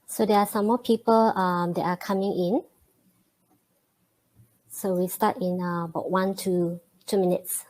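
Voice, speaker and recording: A woman's voice: on the low side, fairly even intonation, darkish tone. Woman hosting an online webinar. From Malaysia. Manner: friendly, many fillers and pauses. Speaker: webinar host and programming teacher. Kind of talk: live online webinar greeting and intro.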